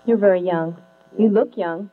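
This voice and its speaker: A woman's voice: medium pitch, normal speed. A young woman interviewing a band on a handheld mic in a small curtained room, earnest and often laughing. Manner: earnest, laughs often, reads questions from notes. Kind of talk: live studio interview. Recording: tinny lo-fi late-70s video sound with mains hum.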